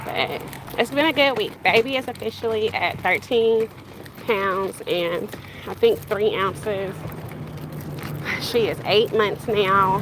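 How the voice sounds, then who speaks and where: Woman in her thirties, rambling casual livestream talk, walking outdoors, phone mic, noticeable street noise. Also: medium pitch. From Bahamas. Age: 30s. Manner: rambling, conversational, addresses viewers directly. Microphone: handheld phone.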